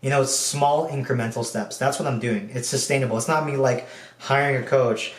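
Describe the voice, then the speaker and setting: A young man from the Philippines speaks casually into his camera at home, wandering through his thoughts with plenty of ums and likes. His voice sits at an ordinary middle pitch. The room around him is bare and echoey, so his words bounce back. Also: moderate pace, distant mic. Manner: relaxed, rambling, frequent um and like fillers. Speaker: video diarist. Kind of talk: casual personal vlog.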